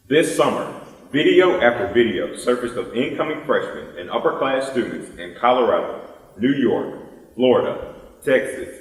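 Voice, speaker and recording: Guy acting fired-up student reciting on stage, low sharp voice, super echoey hall. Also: distant mic, close to an everyday voice. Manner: emphatic, declamatory. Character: impassioned male student crying out against campus hatred. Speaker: readers theater performer. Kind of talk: rehearsed dramatic group recitation. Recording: echoey hall, picked up from afar.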